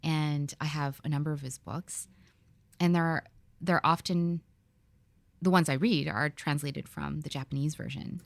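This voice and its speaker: A woman with a notably low voice hosts a podcast interview. She talks in a relaxed, reflective way with easy fillers. The sound is dry and close. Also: a little slow, creaky, no echo. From Philippines. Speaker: podcast host. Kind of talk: conversational interview.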